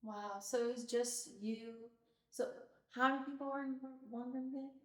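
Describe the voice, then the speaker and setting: A woman's voice: a little high, fairly even intonation, moderate pace, fairly bright tone. A woman of about nineteen co-hosting a podcast with her mother, chatty and playful, acting out what other people say. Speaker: co-host, daughter of host. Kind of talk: casual personal conversation. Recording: roomy, distant from the mic.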